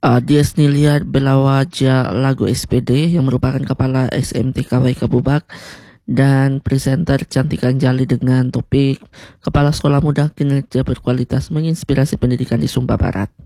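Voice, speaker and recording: A man reads a formal radio programme introduction. His voice is dark and mellow with very little pitch movement, recorded dry. He halts a little over the script. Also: flat delivery, moderate pace, no echo. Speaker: radio announcer.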